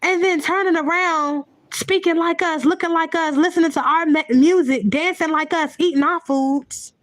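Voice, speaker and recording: A woman's voice: very high-pitched, steady tone, normal speed, dry recording. A woman giving a heated, profane, unscripted rant to her viewers, blunt and repetitive, often restarting her sentences. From United States. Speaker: vlogger.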